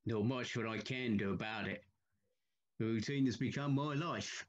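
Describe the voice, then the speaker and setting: A man's voice: mid-range voice, moderate pace, highs cut off, natural voice. A male actor playing a guarded, solitary Victorian signalman in an audio drama, speaking in a terse, subdued, haunted way. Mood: resigned, guarded.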